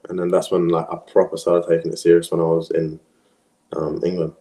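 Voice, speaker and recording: A young man from Australia, whose first language was French, chatting casually as an interview guest in a relaxed, rambling way with many fillers. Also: deep voice, brisk. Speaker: interview guest, professional footballer. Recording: echoey room.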